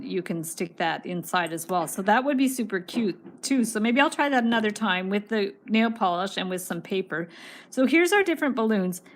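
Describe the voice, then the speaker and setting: Chatty woman losing her voice. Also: on the high side. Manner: chatty, reads viewer comments aloud. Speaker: craft livestream host. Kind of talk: casual craft tutorial livestream.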